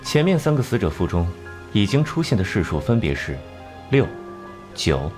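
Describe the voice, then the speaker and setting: An actor playing an aloof young genius detective who explains things in a measured, condescending way in a Chinese animated drama. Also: male voice, on the low side. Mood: grave, knowing. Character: cool, aloof male genius detective and occult scholar. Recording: music behind the voice.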